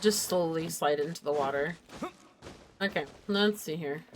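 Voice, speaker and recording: A woman's voice: low voice, moderate pace, soft background music. A woman game streamer giving casual, exclamatory gameplay commentary, often talking to herself.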